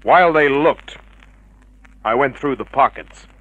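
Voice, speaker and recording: Cynical private eye, terse dry delivery, old radio drama, dull muffled recording. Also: male voice, medium pitch, unhurried, a bit bright, no echo. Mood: hard-boiled narrating. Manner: terse, hard-boiled, dry. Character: cynical, unflappable male private detective narrating his case. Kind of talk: 1950s radio detective drama acting.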